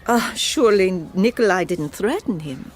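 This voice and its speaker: A woman's voice: mid-range voice, lively intonation, normal speed, lightly acted. An actress playing a tense, proud mother who is uneasy about her son, in an audio drama. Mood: guarded, uneasy. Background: background music.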